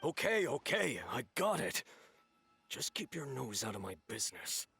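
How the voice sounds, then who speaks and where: Gravelly, irritable game customer. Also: male voice, mid-range voice, big pitch swings, normal speed, sharp tone, soft background music, heavily acted. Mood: defensive, annoyed. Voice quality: gravelly, raspy. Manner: grumbling, argumentative. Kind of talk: video game voice acting.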